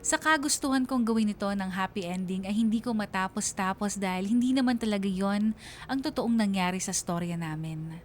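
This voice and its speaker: A woman's voice: mid-range voice, fairly quick, a little hiss or hum, natural voice. A woman playing a reflective, bereaved young woman narrating her own love story in a radio drama. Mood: sad, reflective. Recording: music behind the voice.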